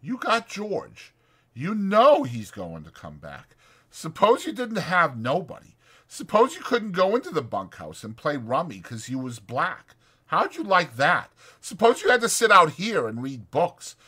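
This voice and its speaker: A man's voice: on the high side, wildly swooping pitch, unhurried, pushed and bright, slightly rough, voice pushed up, heavily acted. A man voicing a lonely, bitter, scornful stable hand with brooding, emphatic, folksy rural speech in a dramatized audiobook. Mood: lonely, plaintive. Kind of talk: dramatized audiobook character acting.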